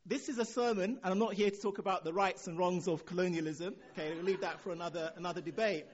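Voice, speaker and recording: A man from Nigeria with a Nigerian accent, preaching a sermon in a relaxed way, chuckling now and then. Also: high-pitched, muffled recording.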